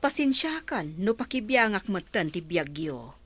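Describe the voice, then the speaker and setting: A woman's voice: medium pitch, sing-song, unhurried. A woman playing a nosy, gossiping older relative in an audio drama, prying and admonishing, with an Ilocano Filipino accent. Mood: concerned, nagging. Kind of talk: amateur audio drama acting.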